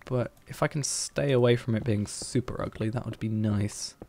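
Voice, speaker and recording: A man's voice: on the low side, moderate pace, slight background noise. A man streaming a building game, thinking aloud in a hesitant, musing way as he plans. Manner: musing, hesitant, planning out loud.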